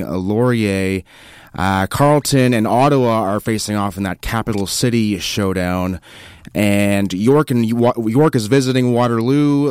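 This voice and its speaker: Smooth radio sports host, dry studio. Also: male voice, mid-range voice, a little mellow, no echo. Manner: brisk, reads scores with small slips.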